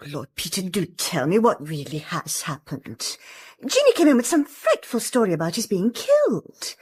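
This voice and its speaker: A woman's voice: mid-range voice, sing-song, normal speed, a little mellow, husky. An actress playing a nervous, evasive married lady, hesitant and polite, in a radio drama. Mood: anxious, shaken.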